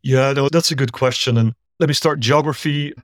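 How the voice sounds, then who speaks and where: A middle-aged man from Belgium, a startup founder explaining his business in a measured, thorough way during a remote podcast interview. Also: mid-range voice, dry recording, clean studio sound. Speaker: guest, startup founder and CEO.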